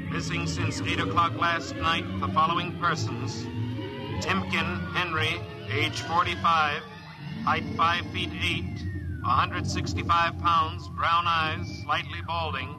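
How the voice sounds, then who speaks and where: A male official announcer in an old radio drama. He is slow, formal and impersonal, slightly high in pitch, over loud backing music. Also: little pitch movement, poor audio. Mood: impersonal urgency. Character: official male announcer reading a missing-persons bulletin. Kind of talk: 1950s radio bulletin.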